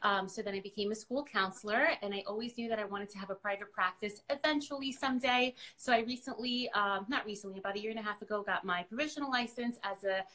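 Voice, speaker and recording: A woman's voice: mid-range voice, fast, few pauses, bright, forceful tone. A woman whose first language is Spanish, chatting and explaining as a counselor guest in a casual interview.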